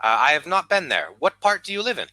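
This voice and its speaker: An American man, an online English tutor, chatting patiently on a video call and speaking slowly and clearly. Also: fairly high pitch, very animated, sharp tone, dry recording. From United States. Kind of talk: casual conversation lesson.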